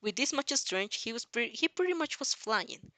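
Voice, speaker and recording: Amateur female narrator for dramatic fan fiction, bright forceful tone, dry close sound, halting delivery with repeated phrases. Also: a little high, big pitch swings, no room sound. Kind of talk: dramatic second-person story narration.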